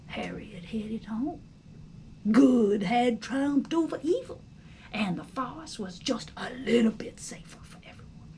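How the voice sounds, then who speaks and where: Woman reading a story out loud, really expressive, takes her time, some background noise. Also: on the high side, unhurried. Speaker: storybook reader. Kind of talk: expressive, dramatic storybook narration.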